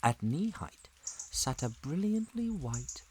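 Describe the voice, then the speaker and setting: A man narrating a scripted family adventure story in a dramatic, measured storytelling manner. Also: on the high side, big pitch swings, a little mellow, breathy edge.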